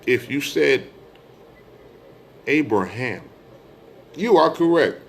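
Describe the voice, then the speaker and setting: A man's voice: medium pitch, animated, takes it slowly, gravelly, a little hiss or hum. A middle-aged American man giving an informal religious monologue, speaking emphatically, repeating phrases and posing rhetorical questions. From United States. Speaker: religious speaker addressing online followers.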